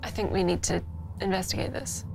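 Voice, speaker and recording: A woman's voice: fairly low pitch, steady tone, fairly quick, gravelly, darkish tone, faint music behind. A woman playing a tabletop roleplaying game, speaking casually and slipping in and out of character.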